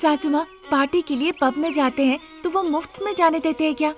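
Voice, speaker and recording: A woman's voice: high voice, steady tone, brisk, over music, voice pushed up, close to an everyday voice. A woman voicing an eager, persuasive young celebrity daughter-in-law in an emphatic, pleading way, in a story.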